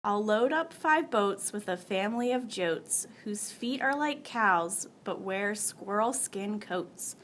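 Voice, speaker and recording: A woman with a medium-pitched voice reads a storybook aloud at an unhurried pace. A faint rumble sits in the background. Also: expressive, fairly bright tone. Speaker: staff member reading aloud. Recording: faint low rumble behind.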